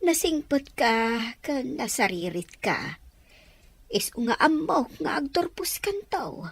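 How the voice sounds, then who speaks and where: Woman playing doting ilocano auntie, husky, lively up and down pitch, audio drama. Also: slow pace, exaggerated voice. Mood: affectionate, encouraging. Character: doting, hopeful middle-aged aunt urging her niece.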